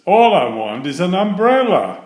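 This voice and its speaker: A man voicing a fussy, hurried lady employer in a children's storybook, flustered and emphatic. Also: high-pitched, sing-song, voice pushed up. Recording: roomy echo.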